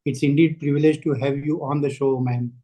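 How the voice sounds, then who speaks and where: A man with an Indian English accent hosting an interview, polite and hesitant, often pausing on fillers. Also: a little high, fairly even intonation, dark tone. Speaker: interviewer host. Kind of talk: interview conversation.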